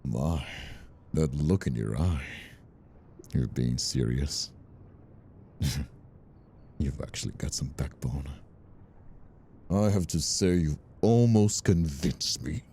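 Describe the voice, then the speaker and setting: An actor playing a vicious, bitter mob rival in an audio drama, mocking and theatrical in his drawn-out menace. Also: extremely low pitch, warm tone, slight background noise, full character voice. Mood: amused disdain. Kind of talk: audio roleplay drama acting.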